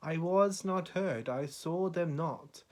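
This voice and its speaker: A man reciting poetry in a solemn, measured, dramatic way, with deliberate pauses between phrases. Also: a little high. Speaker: poetry reader.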